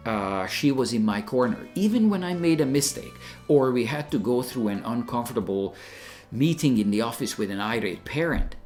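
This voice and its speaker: An older man narrates his opinions in an emphatic, scripted way. His pitch moves widely and animatedly. Soft music plays faintly behind him. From Hungary. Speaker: retired teacher.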